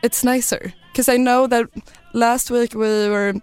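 A woman co-hosting a podcast, a native Swedish speaker who talks in halting English and giggles often. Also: on the high side, fairly even intonation, darkish tone, smooth. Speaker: podcast co-host. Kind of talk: casual chat.

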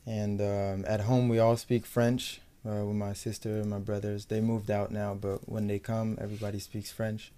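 Low, mellow young American man, even delivery. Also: moderate pace, hardly any pauses. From United States. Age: young adult. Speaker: professional footballer being interviewed. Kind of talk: casual sports interview.

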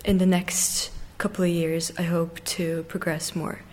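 A woman's voice: fairly low pitch, little pitch movement, unhurried, a little mellow. Hesitant young woman in a radio interview. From Ireland and Russia. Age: teens, around 17. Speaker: interview guest, competitive figure skater.

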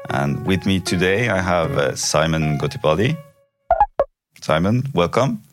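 A man's voice: low voice, expressive, unhurried, over loud music. A man hosting a company podcast, asking questions in a friendly, casual way with some fillers. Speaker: podcast host.

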